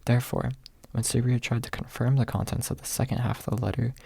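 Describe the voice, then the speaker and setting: A man narrating an audiobook in a soft, close ASMR reading style, with a husky, gravelly voice. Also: mid-range voice, little pitch movement, fast talker, no echo.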